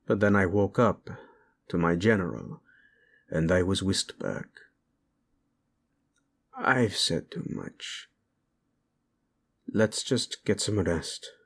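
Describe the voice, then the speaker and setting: A male voice actor playing a brooding young warlord prince who speaks softly and often drops to a whisper to his bride. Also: sits low, takes it slowly, long pauses, exaggerated voice. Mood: tender. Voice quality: husky, soft-spoken, often drops to a whisper. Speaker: audio roleplay voice actor. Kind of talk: audio roleplay acting.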